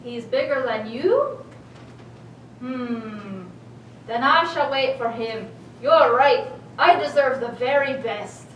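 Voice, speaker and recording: A woman playing a greedy, menacing bridge troll in a children's puppet show, with drawn-out, declaring lines. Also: dull audio. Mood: pondering, greedy. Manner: slow, drawn-out, booming declarations. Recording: roomy, distant mic, faint background noise.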